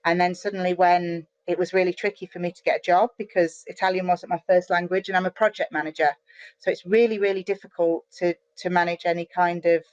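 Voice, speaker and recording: A chatty, animated middle-aged woman from the UK talks as a guest over a video call, her sentences tumbling out with restarts and fillers. The sound is close and dry, a little dull and lo-fi. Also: on the low side, no echo. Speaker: guest, online marketer. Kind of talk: casual interview chat.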